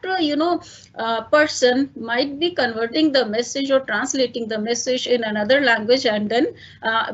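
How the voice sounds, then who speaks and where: A woman's voice: on the high side, slightly rough, muffled recording. A woman with an Indian accent, a native Hindi speaker, giving a lecture and explaining step by step with many fillers and "fine" check-ins. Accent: Indian English. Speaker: teacher. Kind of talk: online lecture.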